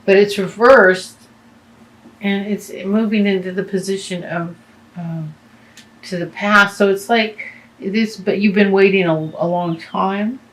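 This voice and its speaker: A woman giving a tarot reading, losing her voice, talking in a halting way with many fillers and restarts. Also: medium pitch, low-quality recording. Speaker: tarot reader.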